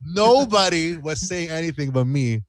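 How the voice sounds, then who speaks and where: A man chatting casually as an interview guest, telling stories in an animated way and repeating phrases as he goes. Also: fairly high pitch, normal speed, a crowd in the background. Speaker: guest musician.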